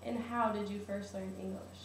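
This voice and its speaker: A woman interviewer asks short, prompting questions in a voice of middling pitch. She sits away from the mic in a very echoey room, with clear background noise behind her. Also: little pitch movement, normal speed, recorded from a distance. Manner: brief, prompting.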